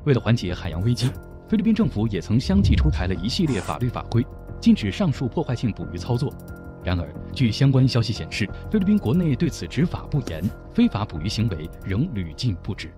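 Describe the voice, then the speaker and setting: A man reading documentary narration in a measured, solemn way. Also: mid-range voice, sing-song, over music.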